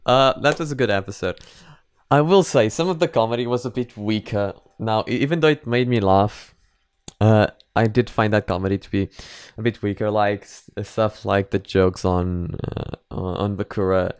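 Low, clear-voiced young man reacting casually. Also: normal speed, no echo, studio quality. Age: 20s. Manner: hesitant, repeats words mid-sentence. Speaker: reaction video host. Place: small home room, indoors. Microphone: close microphone on boom arm.

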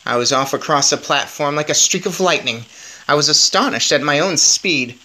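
A man's voice: fairly high pitch, normal speed, sharp tone. A man in his thirties reading a children's novel aloud with expression. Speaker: story reader.

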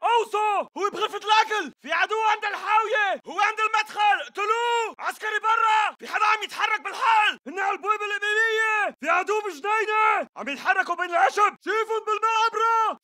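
An actor playing an urgent militant fighter in a shooter game, calling out enemy positions in short bursts of Levantine Arabic. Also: male voice, very high-pitched, full character voice. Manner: urgent shouted short callouts. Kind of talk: military shooter battle chatter.

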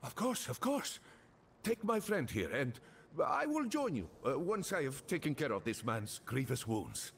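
Wildly animated, jovial roguish mercenary. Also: male voice, mid-range voice, a little slow, vocal fry, a little hiss or hum, exaggerated voice. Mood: breezy. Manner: jovial, theatrical, chuckling banter. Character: chatty, roguish young desert mercenary, jovial and sly. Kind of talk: video game character dialogue.

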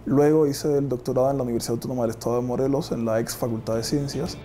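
A man's voice: mid-range voice, fairly even intonation, fast talker. A man in his thirties with a Cuban accent, a research scientist explaining things in a documentary interview, recorded on a lapel mic. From Cuba. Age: 30s. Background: background music.

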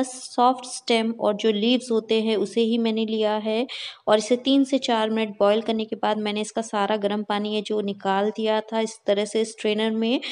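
Young woman walking you thru a home recipe, higher voice, pretty flat tone, friendly and chatty. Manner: friendly step-by-step explaining, mixing English words into Hindi. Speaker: cooking channel host. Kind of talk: recipe voice-over narration. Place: home kitchen.

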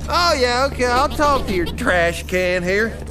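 A mocking wisecracking stranger. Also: male voice, very high-pitched, lively intonation, a little slow, music in the background. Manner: taunting, laughing drawl.

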